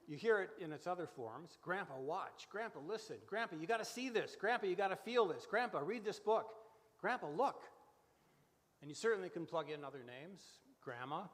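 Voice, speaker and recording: Host, male, 60s, fairly high voice, folksy storyteller delivery, long room reverb. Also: lively intonation, normal speed. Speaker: host of a church radio variety show. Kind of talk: live interview and scripted reflective monologue. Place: large church room, live before audience. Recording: echoey hall with long reverb.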